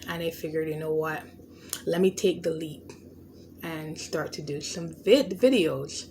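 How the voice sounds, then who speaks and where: A woman's voice: lowish voice, a little hiss or hum. A woman with a stutter and a lisp, hosting a podcast and talking through a personal story in an earnest, reflective way, often restarting words. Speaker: podcast host. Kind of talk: unscripted personal monologue.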